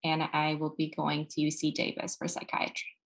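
A woman from Texas, a new doctor, chatting in a relaxed way on a panel. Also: low voice, moderate pace, gravelly, rough recording. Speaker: medical graduate panelist. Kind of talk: casual panel talk.

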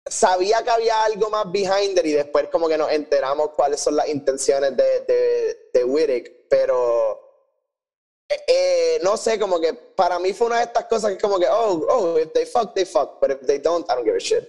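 A man's voice: fairly high pitch, lively intonation, moderate pace, darkish tone. A man with a Puerto Rican accent co-hosting a casual review chat, swearing freely and switching between Spanish and English. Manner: salty, swearing, mixes English phrases into Spanish. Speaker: podcast host.

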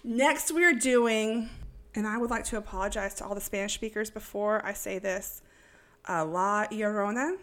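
A woman's voice: mid-range voice, normal speed, fairly bright tone. A woman from East Texas co-hosting a casual podcast, blunt and jokey, laughing often. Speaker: podcast co-host.

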